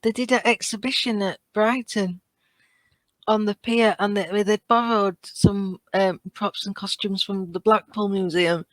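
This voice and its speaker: A British woman in casual fan chat as a podcast co-host, halting as she thinks aloud. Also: mid-range voice, fairly even intonation, no room sound, poor audio.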